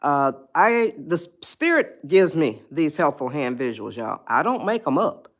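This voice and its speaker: A woman of eighty-one, chatting slowly to viewers on her live stream, often losing her place and laughing. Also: low voice, big pitch swings. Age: 80s.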